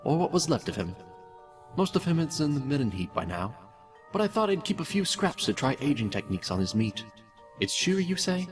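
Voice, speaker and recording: Man acting a cold, clinical scientist, widely swooping pitch. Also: sing-song, a little fast. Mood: mocking, cruel. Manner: clinical, detached, pompous, menacingly polite. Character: cold, clinical male mad scientist dictating cruel experiment notes. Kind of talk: fan fiction audio drama, dictated notes. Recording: distant voice with music behind.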